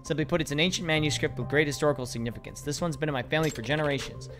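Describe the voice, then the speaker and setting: Hoarse man streaming a video game. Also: a little high, very fast, over music. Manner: reads game subtitles aloud, swears casually. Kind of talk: casual gameplay commentary. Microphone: headset or desk mic, webcam.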